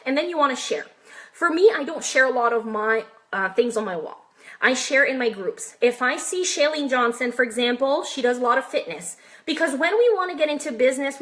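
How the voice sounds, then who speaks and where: A French Canadian woman with a high, bright, forceful voice coaches her live viewers. She talks in a chatty, direct way and keeps tossing them questions. Her accent is light but present throughout. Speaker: network marketing coach.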